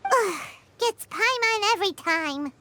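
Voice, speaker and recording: A woman voicing a tiny, childlike, excitable fairy companion in an animated video game, exclaiming and easily offended, with music behind her. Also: very high-pitched, slow, no echo, heavily acted. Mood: coy, exasperated. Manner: refers to herself in third person, exclaiming.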